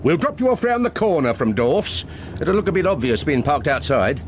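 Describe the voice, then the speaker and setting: A fussy, officious organiser, lively intonation. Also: male voice, fairly high pitch, normal speed, background noise. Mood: brisk. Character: brisk, fussy, officious man directing a sting. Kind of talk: radio drama acting.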